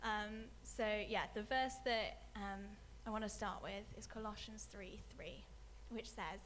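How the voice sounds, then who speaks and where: A woman preaching a sermon, speaking softly with many ums and letting her phrases fade away quietly. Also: mid-range voice, fairly even intonation, unhurried, a little hiss or hum. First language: not English. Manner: soft-spoken, many ums, trails off quietly at phrase ends. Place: church hall with a screen.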